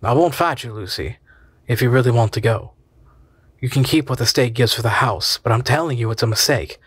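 A man's voice: medium pitch, a little fast, fairly bright tone, husky, dry recording. Folksy, soothing husband, voiced by the male narrator, dry and close.

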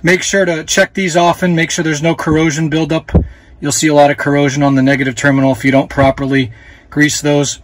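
A man in his thirties with a slightly high voice explains a repair step by step as he works. He talks in a relaxed, plain way into a phone held close, outdoors. Faint noise sits behind him. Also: fairly quick. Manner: relaxed, plain-spoken, with fillers while working. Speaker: dealer host giving a how-to. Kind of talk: casual hands-on tutorial. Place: outdoors beside a jet ski. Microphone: phone camera held close.

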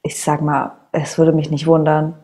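A woman's voice: sits low, flat delivery, normal speed, warm tone. A woman in her twenties co-hosting a show, chatting casually and bluntly in slangy, wry talk. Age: 20s. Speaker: co-host of an online show. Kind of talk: casual chatty discussion of reality TV. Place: small indoor room at a desk.

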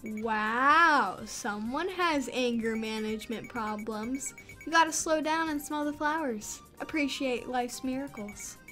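A woman's voice: high-pitched, a little slow, sharp tone, soft background music. A woman voicing a goofy, cocky teenage girl prankster with playful, mock-dramatic teasing.

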